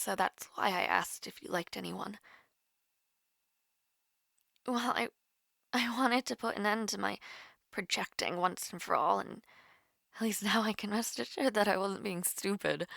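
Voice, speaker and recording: A woman playing a nervous, smitten young woman in an intimate romantic audio roleplay, halting and flustered. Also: mid-range voice, fairly bright tone, dry recording, studio quality. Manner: halting, flustered, breathy sighs and whispers.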